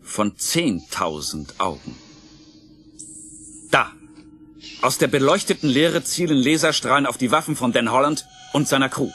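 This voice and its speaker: Male narrator, mid-pitched, for sci-fi audio drama; suspenseful, deliberate, clear music bed. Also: lively intonation, sharp tone.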